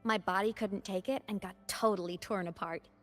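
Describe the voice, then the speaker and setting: A woman's voice: medium pitch, steady tone, a little fast, faint music behind, close to an everyday voice. A woman voicing a playful, teasing digital ghost girl in a video game, breezy and casual but determined. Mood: wistful, breezy. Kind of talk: video game story voice acting.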